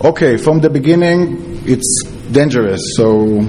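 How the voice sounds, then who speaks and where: A man with an Israeli accent giving a conference presentation as a security researcher, in halting, non-fluent English. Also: mid-range voice, lively intonation, warm tone, slight background noise. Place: conference talk before an audience.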